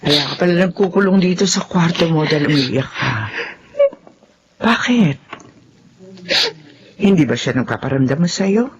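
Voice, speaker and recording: A woman's voice: deep voice, animated, unhurried, long pauses. A woman playing a concerned, coaxing middle-aged mother with a Filipino accent in a radio drama. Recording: dull lo-fi audio, faint noise.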